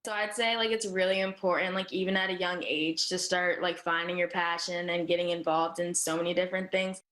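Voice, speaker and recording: A high school girl speaking casually in an interview, with frequent fillers. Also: fairly even intonation, brisk, fairly bright tone, poor audio. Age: teens. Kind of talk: interview soundbites.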